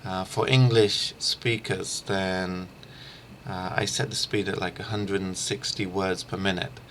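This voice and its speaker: A middle-aged man giving an informal instructional screencast as a language teacher, haltingly thinking aloud. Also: low voice, fairly even intonation, fairly bright tone, slight background noise. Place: small room lined with shelves, indoors.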